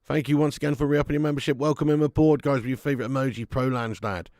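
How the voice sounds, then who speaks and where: A man hosting a live fan watch-along of a football match at home, chatting to viewers with sweary banter and bursting into loud cheering at chances. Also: mid-range voice, fairly even intonation, fast talker, dry recording, studio quality. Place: at home in front of a camera.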